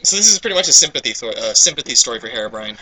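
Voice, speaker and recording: A man's voice: medium pitch, very animated, rapid-fire, bright, forceful tone, a little hiss or hum, rough recording. A young man giving a casual, irreverent comedic reading, breaking off into banter and swearing. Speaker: reader and commentator.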